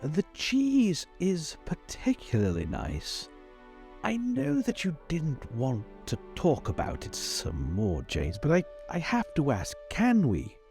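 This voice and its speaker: An earnest, patronizing old academic mentor, played by a man in a tabletop game. His pitch swings in a lively way as he halts and repeats himself formally. Faint music plays behind him. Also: takes it slowly, creaky, heavily acted. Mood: concerned, pleading. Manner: hesitant, repeating words, formal. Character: fussy, earnest older male university professor, patronizing mentor. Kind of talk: improvised tabletop roleplay.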